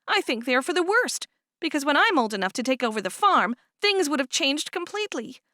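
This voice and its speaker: A woman's voice: high voice, big pitch swings, fairly quick, pushed and bright, no echo, clean studio sound, pitched above the performer's own voice, full character voice. A woman reading aloud and voicing an upset, stubborn teenage farm girl who talks in a sulky, indignant way.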